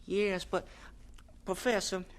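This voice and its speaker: A man's voice: high-pitched, level tone, slow pace. An actor playing an earnest, worried young male assistant in a radio drama, plain-spoken and full of questions. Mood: amazed, puzzled.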